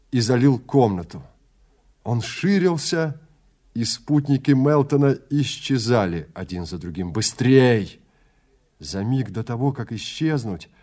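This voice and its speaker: A man's voice: mid-range voice, dark tone. A man narrating a scripted story in a dramatic style.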